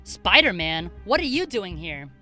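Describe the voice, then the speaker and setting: A woman's voice: on the low side, sing-song, unhurried, loud music behind the voice. An actress voicing an insistent, impatient, headstrong female reporter in a comic-book dub. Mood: urgent.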